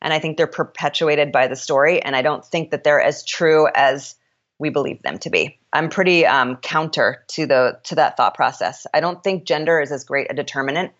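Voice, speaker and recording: Deep-voiced woman, frank and opinionated. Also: highs cut off. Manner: frank and opinionated, with quick restarts. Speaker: author and startup founder, guest. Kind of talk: candid interview conversation.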